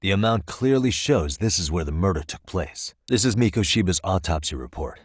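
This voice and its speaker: A male actor voicing a composed, determined private detective in a video game, with a measured, probing delivery. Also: low-pitched, animated, husky, dry recording, studio quality. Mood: matter-of-fact. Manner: measured, probing, matter-of-fact. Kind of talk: English video game dialogue dub. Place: dry recording studio.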